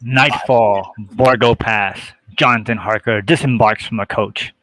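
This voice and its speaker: A man's voice: lowish voice, steady tone, measured pace, bright, forceful tone, dry recording. A man reading stage directions as scripted narration for an amateur drama table read.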